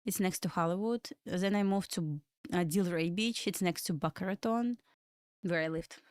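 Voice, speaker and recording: A woman's voice: lowish voice, moderate pace, darkish tone, no room sound. Woman with a Russian accent, dry sound. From Belarus. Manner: chatty, loose and rambling, answering chat questions between market comments. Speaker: livestream trading host. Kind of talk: casual live-stream chat with viewers. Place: home room in Florida.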